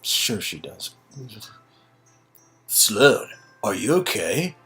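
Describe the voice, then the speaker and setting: A woman reading a game story aloud and bantering casually, with animated, teasing interjections. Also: a bass voice, extremely slow, husky. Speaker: co-host reading game dialogue and narration. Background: music.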